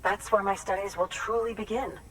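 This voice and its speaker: An actress playing a studious, grateful scientist in a video game, speaking in a formal, measured, erudite way. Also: female voice, mid-range voice, fairly even intonation, heavy background noise, close to an everyday voice. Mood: impressed, grateful. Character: studious, grateful female scientist researching tar and extinction. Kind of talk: dramatic game dialogue, expository.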